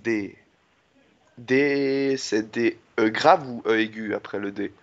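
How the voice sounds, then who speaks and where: A man plays a polite, slow-witted barman on a prank phone call, in a lowish voice. He is earnest and muddled, forever asking for things to be repeated. The call audio is dull and lo-fi. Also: dry recording. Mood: politely puzzled. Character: polite, dim-witted barman hopelessly confused by spelling. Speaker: prank caller.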